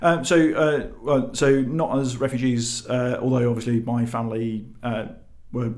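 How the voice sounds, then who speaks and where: A man's voice: mid-range voice, level tone, moderate pace, darkish tone. A man from Lebanon who grew up in the UK, giving conversational answers as a charity leader being interviewed. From born in Lebanon, grew up in the UK. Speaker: charity chief executive, interview guest.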